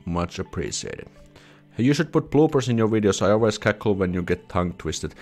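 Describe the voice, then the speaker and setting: A man's voice: fairly low pitch, very animated, normal speed, darkish tone, faint music behind. A young Finnish man with a Finnish accent hosting a casual live stream at home, reading viewer comments and chatting, often restarting his words and slipping into Swedish phrases. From Finland. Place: small indoor room at home. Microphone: headset with desk mic in front.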